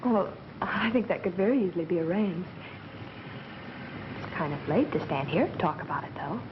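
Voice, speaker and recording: A woman's voice: fairly low pitch, lively intonation, normal speed, lightly acted. A woman acting a breezy, practical, forward young American in a 1930s film, speaking in a direct, matter-of-fact way. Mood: coaxing, forward. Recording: dull, noisy vintage 1930s film soundtrack.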